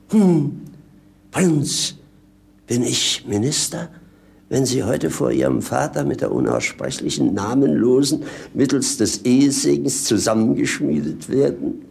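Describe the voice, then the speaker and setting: An actor playing a witty, mocking servant in theatrical, mock-pompous 1950s radio-play style. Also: male voice, medium pitch, sing-song, slow, creaky, long pauses, darkish tone, exaggerated voice. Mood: scheming, mock-pompous. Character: roguish servant companion, witty, mocking, down-to-earth opportunist.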